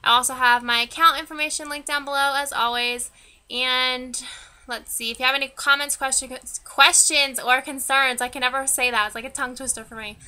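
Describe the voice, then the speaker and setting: A woman's voice: high-pitched, steady tone, fairly quick, bright, forceful tone, clear, resonant tone. A woman in her twenties from Pennsylvania with a Northern American accent, chatting informally and a little self-consciously as a vlogger. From Pennsylvania, United States. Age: 20s. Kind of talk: casual chat to camera, answering tag questions. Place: small wood-panelled room indoors.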